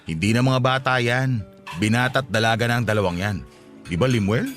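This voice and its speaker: A man's voice: mid-range voice, brisk, fairly bright tone, faint music behind, natural voice. An actor playing a playful, assertive middle-aged Filipino father who teases and dotes, in radio drama style. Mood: playful, hearty.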